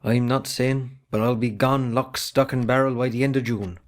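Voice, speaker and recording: A man's voice: medium pitch, steady tone, moderate pace, lightly acted. A man playing a guarded, secretive young farmer's son with an Irish accent in an amateur drama. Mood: guarded, stubborn.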